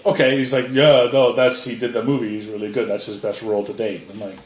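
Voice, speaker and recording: A man's voice: medium pitch, flat delivery, fast talker, a little hiss or hum. A man from Canada co-hosting a movie podcast, making dry, wry asides. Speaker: podcast co-host. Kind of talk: casual movie chat. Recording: roomy echo.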